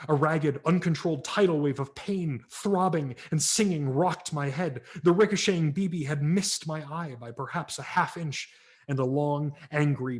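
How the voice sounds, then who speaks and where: A man, a professional audiobook narrator, giving a vivid dramatic reading of a humorous memoir over a video call. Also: a little high. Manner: vivid, expressive storytelling.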